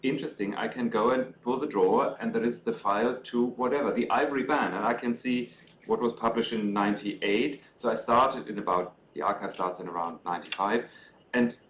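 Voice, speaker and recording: A middle-aged man speaks English with a German accent, pausing often with ums and restarting his sentences. His dry, self-mocking remarks come through clearly. He stands some way from the mic in a roomy, echoing lecture hall. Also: medium pitch, a bit bright, recorded from a distance, slight background noise. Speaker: author presenting his book. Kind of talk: lecture talk, then interview answers. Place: lecture room.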